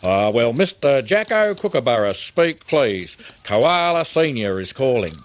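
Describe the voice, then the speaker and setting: A man voicing a gruff, decisive father koala in a children's storybook, plain-spoken and grunting. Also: medium pitch, big pitch swings, unhurried, slight background noise. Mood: calling out.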